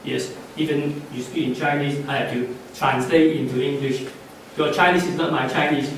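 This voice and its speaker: A middle-aged man whose first language is Cantonese, giving halting, unscripted closing remarks at a conference, with many fillers and restarts. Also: medium pitch, fairly even intonation. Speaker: conference speaker. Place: conference hall. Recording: echoey hall, far from the mic, faint noise.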